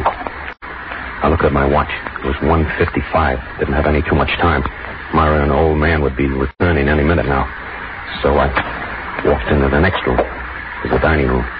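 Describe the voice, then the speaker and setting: An actor playing a grim, furtive man narrating in terse sentences in a 1940s radio thriller. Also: a bass voice. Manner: terse, deliberate short sentences. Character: Ernie, grim furtive man narrating his stealthy night intrusion. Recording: noisy muffled 1940s radio recording.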